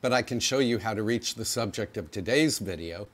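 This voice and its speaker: A man's voice: lowish voice, animated, brisk. A middle-aged man chatting casually to the camera as he gives a tour of his large old house. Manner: chatty, friendly tour-guide delivery. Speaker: home vlogger giving a house tour. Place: large old house with high-ceilinged rooms, indoors.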